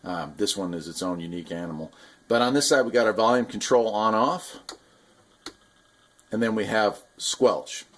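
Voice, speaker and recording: A man's voice: on the low side, moderate pace, fairly bright tone. A man giving a casual, unscripted product review, explaining things hands-on in a rambling, enthusiastic hobbyist way. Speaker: radio hobbyist reviewer and video host.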